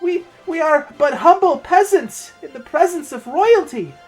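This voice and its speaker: A man's voice: very high-pitched, lively intonation, unhurried, faint music behind, voice pushed up. A man voicing a terrified young village boy in a fantasy story, stammering and pleading in trembling tones.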